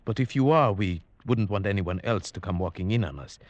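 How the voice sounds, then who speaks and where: An actor playing an uneasy sheriff who narrates a tense hunting tale in measured, brooding 1940s radio style. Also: male voice, fairly low pitch, no room sound, lo-fi sound, lightly acted. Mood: uneasy, grave. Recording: 1947 radio broadcast with music behind.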